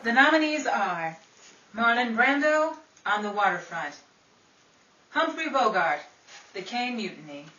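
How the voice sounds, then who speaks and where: A woman's voice: fairly high pitch, big pitch swings, a little slow, long pauses, pushed and bright, distant mic, a faint crowd. A woman presenting awards, formally reading out a scripted list of nominees. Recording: dull, echoey room, off mic, faint hiss.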